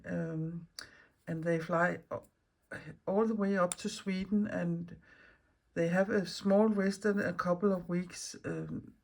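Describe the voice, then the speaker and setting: A woman with a Danish accent and a slightly low voice talks casually as the host of a craft vlog. She is a non-native speaker who rambles, pauses and hunts for the right word as she goes. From Denmark. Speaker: mixed media artist and video host.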